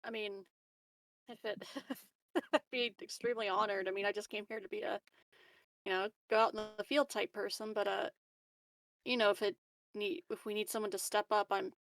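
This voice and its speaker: A woman playing a tabletop roleplaying game, talking casually and haltingly with a giggly, good-humored manner. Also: medium pitch, steady tone, fairly bright tone, no room sound. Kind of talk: casual tabletop game chat, lightly in character.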